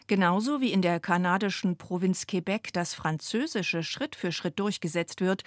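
A woman reporting for a radio feature, narrating her script in a clear, even way. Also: on the low side, no room sound, clean studio sound.

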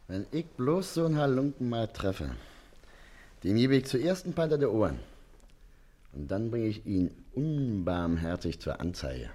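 An actor playing a pompous, snooping, boastful informer in a period stage comedy, blustering and threatening. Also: male voice, fairly low pitch, very animated, unhurried, warm tone. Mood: boastful, menacing.

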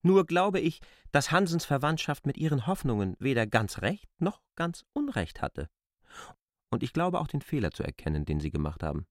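An actor playing an insistent, polite but stubborn man in a literary radio drama, speaking formally and deliberately as he argues his case. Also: medium pitch, very animated, no room sound, studio quality, lightly acted. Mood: probing.

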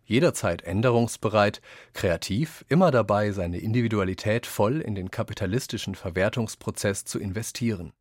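A man reads scripted quotations in a radio essay, in a measured, deliberate and articulate manner. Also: on the low side, no room sound, studio quality.

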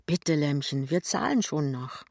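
A woman's voice: deep voice, expressive, unhurried, darkish tone, dry recording, clean studio sound, exaggerated voice. A woman voicing a young husband and son in an audiobook, blunt, proud and impatient. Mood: confident.

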